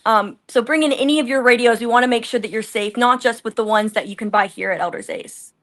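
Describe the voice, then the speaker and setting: A woman field reporter giving an informal, unscripted live report with a halting, ad-libbed delivery. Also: a little high, a bit bright.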